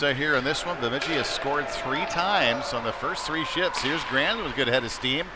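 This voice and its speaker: A man doing live, conversational play-by-play commentary of youth hockey, excitable on big plays. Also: medium pitch. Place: indoor ice rink, live broadcast. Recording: clear background noise of sports arena.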